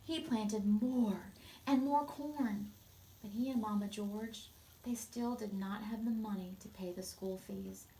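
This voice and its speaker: A woman reading a storybook aloud and explaining it to children in an expressive, gentle way. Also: medium pitch, takes it slowly, poor audio.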